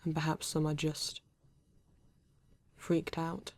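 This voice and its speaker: A woman's voice: low-pitched, completely flat delivery, darkish tone, no room sound. A woman playing a male android police detective with a British accent, formal, precise and proud yet earnest, in an ASMR roleplay. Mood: thoughtful, reserved. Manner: formal, precise, measured, avoids contractions.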